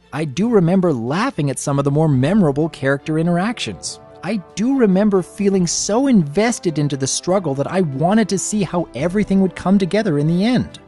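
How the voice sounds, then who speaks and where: A man's voice: a little high, darkish tone. A man giving scripted review commentary as a guest reviewer.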